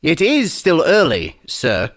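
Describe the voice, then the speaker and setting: A man's voice: on the high side, huge pitch swings, takes it slowly, fairly bright tone, exaggerated voice. An actor playing a smug young page boy, curt and mock-polite, in a dramatized audiobook. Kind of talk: dramatized audiobook character acting.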